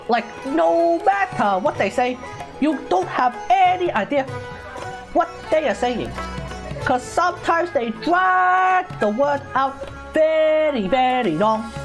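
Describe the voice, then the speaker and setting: A young woman with an Australian accent, chatting loosely as she streams a game, rambling and repeating herself. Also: sits high. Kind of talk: casual livestream chat while gaming.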